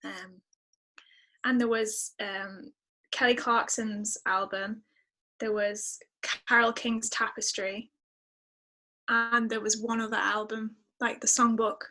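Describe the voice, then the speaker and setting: A woman's voice: on the high side, little pitch movement, takes it slowly, bright, forceful tone. A woman with a Northern English accent chatting casually and informally as an interview guest. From northern England. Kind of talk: casual chat.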